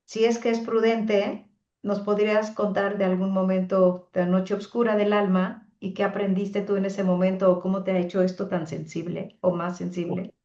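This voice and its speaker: A woman hosting an interview, asking long, probing questions in an enthusiastic, warm way. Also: mid-range voice, flat delivery, moderate pace. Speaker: interviewer host. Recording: roomy, slightly dull.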